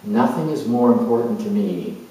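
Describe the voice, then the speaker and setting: A man playing an earnest, reflective spiritual seeker in a dramatized monologue, speaking haltingly and conversationally with pauses. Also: lowish voice, lively intonation, warm tone, close to an everyday voice. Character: earnest spiritual seeker, male adventurer turned motivational broadcaster. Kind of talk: monologue in character, delivered conversationally. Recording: echoey room, distant microphone.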